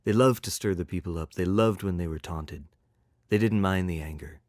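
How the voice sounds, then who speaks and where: A man reading a rhymed story as an expressive narrator, in a measured, rhythmic delivery. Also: low-pitched, dry recording, clean studio sound. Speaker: author reading his own story.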